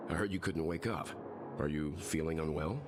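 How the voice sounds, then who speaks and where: An actor playing a kindly, composed middle-aged mentor in a video game, gentle, serious and deliberate. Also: male voice, very deep voice, background noise. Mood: calm, reassuring. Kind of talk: English video game voice acting.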